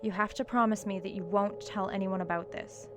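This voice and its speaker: An actress playing a steady, wry young college student in an audio drama, with a dry, earnest delivery. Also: female voice, mid-range voice, a little fast, over music, close to an everyday voice. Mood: guarded, earnest. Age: young adult.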